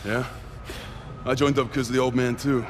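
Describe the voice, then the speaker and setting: An actor playing an upbeat, easygoing young soldier chatting as a best friend would. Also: male voice, medium pitch, level tone, normal speed, background noise, natural voice. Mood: encouraging, wry. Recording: music behind.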